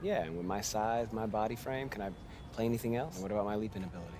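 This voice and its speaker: Man acting seasoned American hoops mentor, low voice, streetwise, emphatic, blunt, game cutscene, clear outdoor noise. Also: rapid-fire, close to an everyday voice. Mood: blunt, challenging. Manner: emphatic, streetwise, rhetorical questioning. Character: seasoned basketball mentor, blunt, preaching hard realism to youngster.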